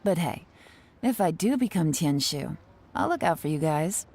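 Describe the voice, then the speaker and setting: A woman voicing a calm, teasing, secretive female agent in a game. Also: low-pitched, slight background noise. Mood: sly. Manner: calm, sly, measured. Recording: game audio over music.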